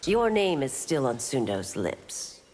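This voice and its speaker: A woman's voice: rumbling low, big pitch swings, slow pace, darkish tone, exaggerated voice. An actress playing a stern, dutiful female warrior constable in a video game, formal and firm. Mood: measured, formal. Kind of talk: video game character dialogue. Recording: long hall-like reverb.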